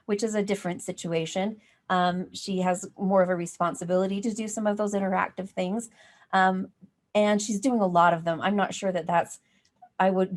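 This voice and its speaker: A woman giving an informal teaching workshop, explaining in a conversational way as she thinks aloud. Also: medium pitch. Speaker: workshop presenter on teaching reading. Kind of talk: informal professional development talk.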